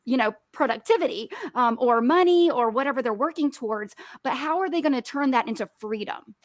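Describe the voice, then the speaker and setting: Higher-voiced woman giving motivational advice. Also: animated, fairly quick, no echo. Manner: encouraging, emphatic repetition. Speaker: podcast guest, business owner.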